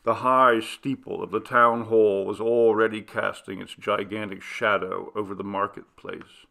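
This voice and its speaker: A man reading a classic Gothic story as scripted narration, in a theatrical, deliberate, literary manner. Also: lowish voice, flat delivery, creaky, pushed and bright. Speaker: audiobook narrator.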